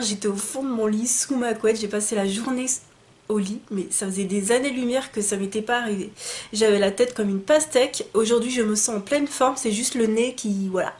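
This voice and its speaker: Young woman vlogger, slightly high voice, stuffy nose from a cold, bubbly and chatty with lots of fillers. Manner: chatty and enthusiastic, many fillers and restarts. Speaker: beauty vlogger. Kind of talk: casual unboxing chat to camera.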